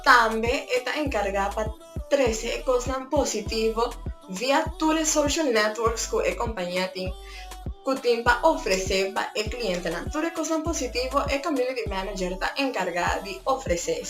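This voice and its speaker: A woman from Curaçao with a Papiamento accent, explaining ways to earn from home in a chatty, casual vlog that mixes languages. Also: fairly high pitch. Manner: chatty, switching between languages. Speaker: YouTuber. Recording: echoey room with faint music.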